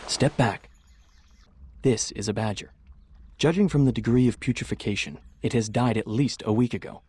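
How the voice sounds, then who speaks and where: An actor playing a matter-of-fact, observant man in a video game, with a husky voice and clinical manner. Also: medium pitch, moderate pace, darkish tone, a little hiss or hum, natural voice. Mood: clinical, calm.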